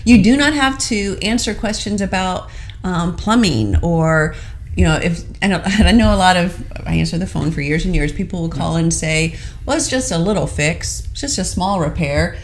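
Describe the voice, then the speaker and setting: A woman's voice: lowish voice, moderate pace, slight background noise. A middle-aged woman co-hosting a studio talk show, chatty and affirming, reading out viewer comments. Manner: chatty, frequent affirming "mm-hmm", reads viewer comments aloud. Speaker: co-host. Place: studio set with city backdrop. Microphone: desk microphone.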